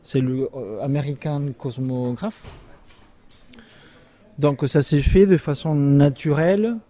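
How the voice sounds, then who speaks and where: A man's voice: on the high side, slow pace, mellow. A man speaking as a radio programme organiser, explaining conversationally to the group.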